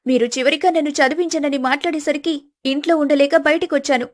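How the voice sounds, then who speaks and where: Woman as a high-voiced, resolute young wife. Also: fairly even intonation, lightly acted. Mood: firm, composed. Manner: measured, polite, resolute. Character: calm, firm, independent young married woman.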